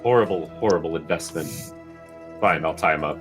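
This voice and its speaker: A man's voice: a bass voice, normal speed, a bit bright, soft background music. A man playing a merchant in a tabletop game, joking casually with the group. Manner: joking, laid-back. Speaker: player.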